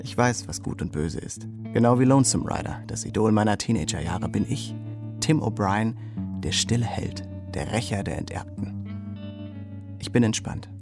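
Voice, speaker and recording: Young male radio drama narrator, actor, self-assured idealist, lower register, guitar bed. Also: moderate pace, natural voice. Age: young adult. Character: young American draftee recalling youth, self-assured, idealistic. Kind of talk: German radio drama present-tense narration. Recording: studio radio drama over guitar music.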